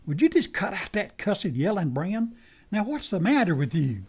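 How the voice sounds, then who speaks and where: A man's voice: sits high, sing-song, normal speed, breathy edge, exaggerated voice. An actor playing a folksy, fussy American deputy who is loyal to his marshal, in a western radio play. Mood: exasperated. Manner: folksy rustic drawl, fussy and deferential. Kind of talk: old-time western radio play acting.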